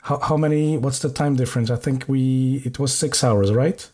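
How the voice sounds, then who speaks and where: A man hosting a podcast interview in a casual way, pausing often with filler sounds and restarting sentences. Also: mid-range voice, steady tone, a little mellow. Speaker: podcast host.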